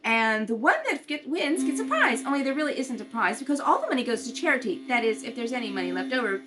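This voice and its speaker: A woman's voice: fairly high pitch, sing-song, quick pace. A woman playing a naive, giggly, rambling young society girl in a 1930s radio comedy. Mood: earnest, dizzy. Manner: artless, rambling.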